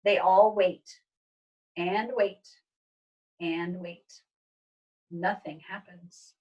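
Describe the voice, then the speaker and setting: A woman teacher reading a children's story aloud in a gentle, expressive way. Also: on the low side, extremely slow, slightly rough, distant mic, dull audio.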